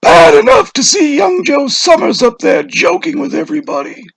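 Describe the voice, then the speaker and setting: A man voicing a cranky, petulant old village man who scorns change, with a creaky voice, in a dramatic story reading. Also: extremely high pitch, wildly swooping pitch, normal speed, no room sound, voice pushed up, exaggerated voice. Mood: scornful, petulant. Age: older. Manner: petulant, grumbling, emphatic.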